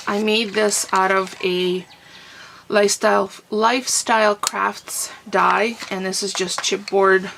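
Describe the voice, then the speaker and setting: A middle-aged woman with a stuffy, congested and husky voice, chatting casually to camera about crafting and clearing her throat often. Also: mid-range voice, fairly bright tone, a little hiss or hum. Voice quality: husky, fading voice. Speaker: crafting vlogger answering viewer comments. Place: home craft room desk. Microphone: camera on a tripod nearby.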